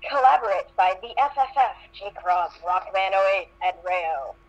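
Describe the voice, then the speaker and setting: A woman's voice: medium pitch, lively intonation, normal speed, highs cut off. A woman reading fan fiction aloud in an amateur group session.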